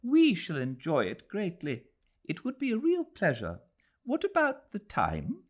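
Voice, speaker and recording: A man voicing a polite, earnest elderly English gentlewoman in an audiobook, with a British accent. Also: high-pitched, wildly swooping pitch, unhurried, voice pushed up, heavily acted. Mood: polite, eager.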